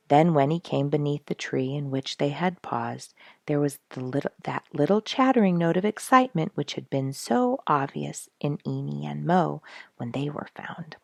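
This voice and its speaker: A woman reading a children's animal story aloud in an expressive, dramatic storyteller's manner. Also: low voice, gravelly, dry recording, studio quality. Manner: dramatic, rhetorical questioning delivery.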